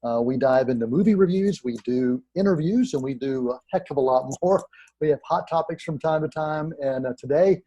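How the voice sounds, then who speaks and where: A man's voice: fairly high pitch, normal speed, warm tone, husky, dry recording. A man hosting a movie show, introducing and questioning his guest in a friendly, hesitant way with many "uh"s. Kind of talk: hosting an interview.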